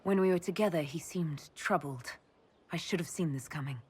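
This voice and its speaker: A woman's voice: sits low, normal speed, darkish tone, in a large hall, natural voice. A woman playing an earnest, wounded elf warrior in a video game, plaintive and direct. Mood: troubled, regretful. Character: hurt, earnest female elf warrior confronting her lover.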